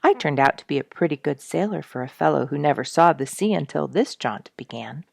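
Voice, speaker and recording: Wry, homesick soldier writing a letter home, deep gravelly read, voiced by a woman. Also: animated, brisk, a little mellow, no echo, studio quality, exaggerated voice. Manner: wry, musing letter reading. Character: male soldier writing home from a troopship, good-humored.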